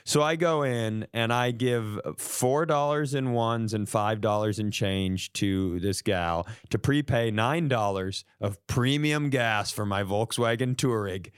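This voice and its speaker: A man's voice: lowish voice, takes it slowly, hardly any pauses, clear, resonant tone, dry recording, clean studio sound. A man hosting a podcast, telling personal stories as comic banter in a casual, mock-indignant, profane way and acting out exchanges.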